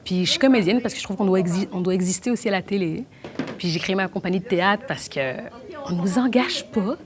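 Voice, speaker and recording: A young adult woman from Belgium, talking fast with many restarts in a documentary interview. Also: mid-range voice, a crowd in the background. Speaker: actress and playwright.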